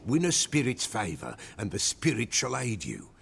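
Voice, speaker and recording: A man's voice: on the low side, wildly swooping pitch, normal speed, fairly bright tone, a little hiss or hum, heavily acted. An actor playing an old male village soothsayer, cryptic and superstitious, who speaks in archaic, folksy sayings in a video game cutscene. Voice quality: gravelly.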